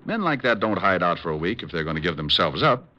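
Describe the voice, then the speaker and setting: An actor playing a suave, wry American private detective in a 1950s radio drama, cool and clipped. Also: male voice, low voice, sing-song, fast talker, pushed and bright, muffled recording, heavily acted. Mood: cool, businesslike. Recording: old radio recording with music.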